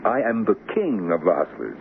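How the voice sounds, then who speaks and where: Sly, swooping devil-hustler. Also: male voice, on the low side, wildly swooping pitch, brisk, creaky, narrow, tinny audio, exaggerated voice. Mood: teasing, boastful. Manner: wheedling, teasing, boastful. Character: the devil posing as a sly, mooching drifter hustler. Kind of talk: 1970s radio drama acting. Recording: thin, noisy vintage radio recording.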